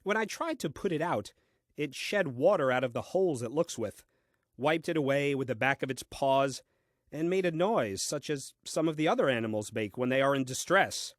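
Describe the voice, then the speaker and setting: A man's voice: mid-range voice, lively intonation, normal speed, no echo, natural voice. An actor playing a grumbling, self-important first man reading his diary in a comic audio play, with dry, fussy delivery.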